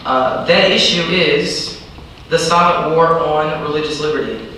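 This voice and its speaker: A woman of about thirty from East Arkansas, giving a public speech in a big, loud, declamatory voice as an invited speaker and radio host. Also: low voice, unhurried, background noise. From East Arkansas, USA. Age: around 30. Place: large echoey hall at a church event. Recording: echoey hall recording from far off.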